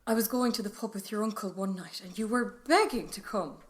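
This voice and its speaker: A woman's voice: mid-range voice, fairly quick, close to an everyday voice. A woman playing a chatty Irish mother in an audio drama, speaking in a conversational, matter-of-fact way. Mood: reminiscing. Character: chatty Irish mother reminiscing with her son. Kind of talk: naturalistic audio drama dialogue.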